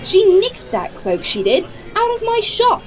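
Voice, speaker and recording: Indignant, high-pitched shopkeeper. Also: female voice, wildly swooping pitch, measured pace, exaggerated voice. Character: indignant, accusing female shopkeeper. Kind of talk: fantasy audio drama acting. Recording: music under the dialogue.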